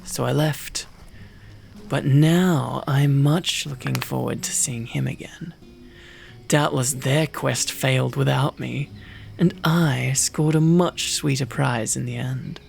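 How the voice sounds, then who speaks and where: A man playing a prickly, easily flustered wolfkin mercenary in an ASMR roleplay, gruff and sardonic, secretly protective. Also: mid-range voice, steady tone, slow pace, faint music behind. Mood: irritated, sardonic.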